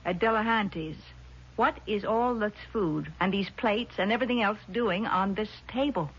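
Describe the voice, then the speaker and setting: An actress playing a composed, doubting sister in a 1970s radio drama, measured, patronizing and patiently insistent. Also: female voice, mid-range voice, fairly bright tone, husky. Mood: patronizing, firm. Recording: muffled vintage radio broadcast, faint noise.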